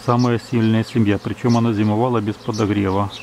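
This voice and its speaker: Man, beekeeper, from Ukraine, dark mellow tone, slight fry, measured, outdoors on a camera mic, faint bee hum. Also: on the low side, little pitch movement. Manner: measured, matter-of-fact, reads out times and temperatures. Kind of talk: calm narration of apiary footage. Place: outdoors at an apiary beside hives. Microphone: camera's built-in mic. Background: buzzing bees.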